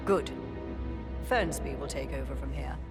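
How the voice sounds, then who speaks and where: An actress playing a stern older aristocratic English matriarch in a game, commanding, curt and formal. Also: female voice, extremely low pitch, measured pace, over loud music.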